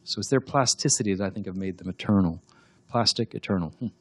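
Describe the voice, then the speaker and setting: A novelist whose first language is French, answering audience questions in a reflective way with frequent restarts. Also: male voice, fairly low pitch, quick pace, a bit bright, slightly rough, dry recording. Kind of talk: talk and Q&A.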